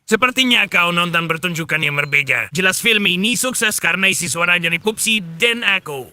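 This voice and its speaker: A woman voicing a brash, argumentative animal character in a children's cartoon, in a forceful theatrical way. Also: lowish voice, animated, normal speed.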